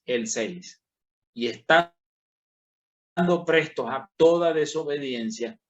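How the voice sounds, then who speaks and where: A man preaches a Bible lesson at a slow, deliberate pace. He leans hard on key words and lifts them in pitch. He talks straight to his listeners with a voice of middle pitch. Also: highs cut off. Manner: slow and emphatic, rising on key words, addressing listeners directly. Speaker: pastor teaching a Bible class. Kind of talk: sermon-style Bible lesson.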